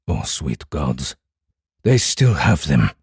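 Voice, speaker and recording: An actor playing a gruff, short-tempered samurai in an audiobook, terse and imperious, with a growling voice. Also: male voice, very deep voice, big pitch swings, takes it slowly, a little mellow, dry recording, studio quality, full character voice. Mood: reverent relief. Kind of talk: audiobook character acting.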